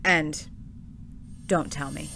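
A woman's voice: deep voice, fairly even intonation, takes it slowly, vocal fry, background noise, heavily acted. A woman playing a weary, skeptical hotel manager in a comedy audio drama, dry and unimpressed. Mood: resigned, dry.

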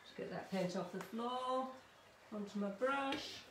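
A woman's voice: a little high, unhurried, fairly bright tone. Middle-aged woman, casual children's workshop demo, encouraging, chatty, echoey small studio, slight background noise. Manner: encouraging, chatty, checking in with listeners using tag questions. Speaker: artist leading a children's art workshop. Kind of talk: casual demonstration tutorial for children. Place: small conservatory-style home studio. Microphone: camera or phone a short distance away. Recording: roomy, distant mic, some background noise.

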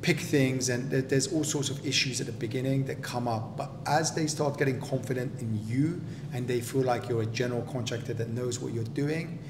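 Developer husband, measured and practical, roomy echo, low rumble behind. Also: male voice. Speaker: property developer and general contractor. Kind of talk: explaining in a relaxed home interview. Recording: echoey room with steady rumble.

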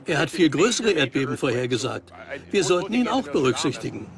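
A man's voice: on the high side, very animated, brisk, vocal fry, crowd noise. A man speaking scripted conversational lines in a dubbed documentary.

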